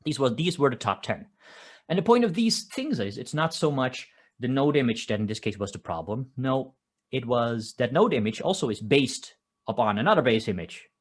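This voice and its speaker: A man's voice: medium pitch, animated, normal speed. A man from the Netherlands with a Dutch accent, giving a conversational technical conference talk and often restarting his phrases. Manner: conversational, with frequent restarts and filler "uh". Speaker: conference speaker. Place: home office, construction noise outside.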